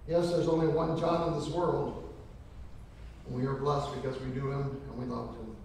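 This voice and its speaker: A man's voice: medium pitch, steady tone, moderate pace, a little mellow, slight background noise. Middle-aged male pastor, earnest folksy funeral preacher, roomy church sound from far off. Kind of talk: funeral sermon. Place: large church sanctuary. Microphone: lectern microphone from a far camera.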